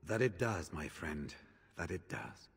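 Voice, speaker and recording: An actor playing the eldest of an order of warriors, a grave, weary veteran who speaks in a solemn, measured way in a fantasy game. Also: male voice, low-pitched, big pitch swings, creaky, breathy edge, exaggerated voice. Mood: solemn, resolute. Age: older. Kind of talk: dramatic fantasy game dialogue. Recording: long reverb of a large chamber.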